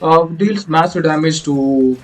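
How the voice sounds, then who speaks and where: A man giving explanatory video game playthrough commentary in a halting, hesitant way. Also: a little high, low-quality recording. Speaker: gaming video creator.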